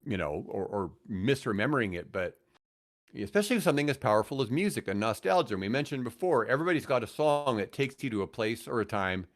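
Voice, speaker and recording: An older man with an American accent, telling rambling, animated anecdotes casually as a radio veteran on a podcast. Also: medium pitch, quick pace. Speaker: radio host and guest.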